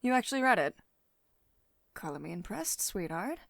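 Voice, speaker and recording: A woman's voice: medium pitch, sing-song, gravelly, a bit bright, no echo. Woman acting a poised, dominant female CEO, close and dry. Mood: amused, approving. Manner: measured, authoritative, condescendingly affectionate. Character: poised, teasing, mildly dominant female CEO of tech firm. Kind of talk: ASMR roleplay, intimate one-sided dialogue. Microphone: close studio-style microphone, dry voice-over.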